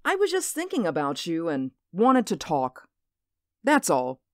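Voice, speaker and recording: A woman's voice: lowish voice, huge pitch swings, measured pace, no echo, clean studio sound. A woman playing a worried, hesitant suburban mother in a radio drama. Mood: nervous, evasive. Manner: hesitant, anxious. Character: uneasy, worried suburban mother, trying to stay firm.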